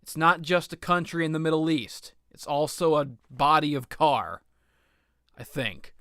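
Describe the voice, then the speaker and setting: A man with a slightly high, bright voice hosts a rambling comedic show about video games. He is sarcastic and melodramatic, putting himself down as he goes. The sound is clean and dry. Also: measured pace, clear, resonant tone, no room sound. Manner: self-deprecating, melodramatic, sarcastic, swearing. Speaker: video game commentator, series host. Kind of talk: rambling comedic game commentary.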